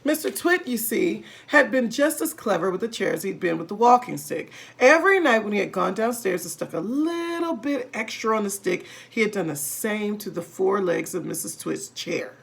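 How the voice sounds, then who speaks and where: A woman reading a children's story aloud in an animated, emphatic way, speaking directly to her listeners. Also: medium pitch, moderate pace, gravelly, few pauses. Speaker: storybook reader. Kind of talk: expressive children's read-aloud narration.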